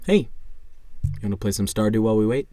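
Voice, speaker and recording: A man's voice: fairly low pitch, fast, dark tone, no room sound, natural voice. A man playing an easygoing but defensive young guy in an audio play, with a hesitant, stammering delivery. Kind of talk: naturalistic audio play dialogue.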